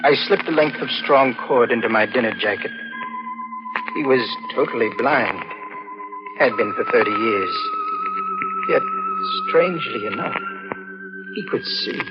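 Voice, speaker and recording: An actor playing a tormented young man in his twenties, intense and melodramatic, in a 1940s radio drama. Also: medium pitch. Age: 20s. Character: haunted, tormented young man confessing a murder plot. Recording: muffled old radio broadcast, loud music.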